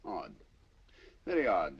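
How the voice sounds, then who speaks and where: An actor with a British accent playing a sharp, inquisitive male detective, asking crisp, probing questions in 1940s film style. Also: sits low, huge pitch swings, slow pace, full character voice. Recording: dull old film soundtrack.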